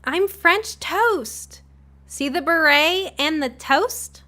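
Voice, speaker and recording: A woman's voice: very high voice, lively intonation, very slow, pushed and bright, pitched above the performer's own voice, full character voice. A woman voicing a playful, literal-minded teenage girl in an audio short story. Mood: proud.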